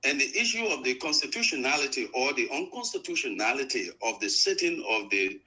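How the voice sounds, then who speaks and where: Man arguing law, lively pitch. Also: rough recording. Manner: measured, formal courtroom phrasing. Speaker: lawyer on a panel show. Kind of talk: call-in legal argument.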